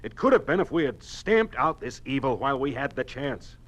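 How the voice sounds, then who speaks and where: An actor playing a distraught middle-aged American husband and plant manager in a 1950s radio drama, agitated and halting. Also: male voice, medium pitch, sing-song, a bit bright. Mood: agitated, grave. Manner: halting, agitated delivery, repeating words.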